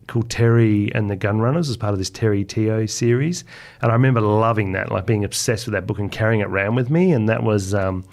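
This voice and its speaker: Low, slightly gravelly man chatting casually. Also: a little mellow. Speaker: children's author, podcast guest. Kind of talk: casual interview chat, recalling memories.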